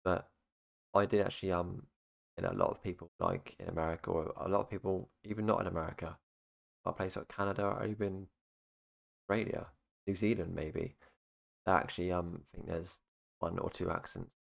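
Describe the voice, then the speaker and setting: A man's voice: deep voice, steady tone, a little fast, creaky, long pauses. A young British man, talking casually to camera and rambling through facts, with many fillers and restarts. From United Kingdom. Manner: rambling, full of fillers like "obviously" and "basically". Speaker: YouTuber. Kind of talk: casual explainer monologue.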